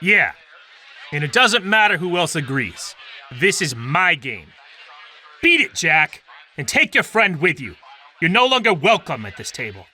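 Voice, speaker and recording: Man acting a sharp, forceful gambling boss. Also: fairly high pitch, big pitch swings, a little slow, pauses often, slight background noise, exaggerated voice. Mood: stern. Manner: commanding. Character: stern gangster boss running the card game. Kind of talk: gangster radio drama acting.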